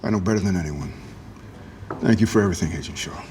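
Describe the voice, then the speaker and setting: An actor playing a gruff, loyal team director, firm and heartfelt, in a TV drama table read. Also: male voice, sits low, fast, a little mellow, close to an everyday voice.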